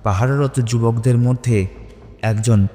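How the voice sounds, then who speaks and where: A man's voice: medium pitch, fairly even intonation, unhurried, dark tone, long reverb tail, soft background music. A man narrating a scripted horror story in a dramatic, suspenseful manner.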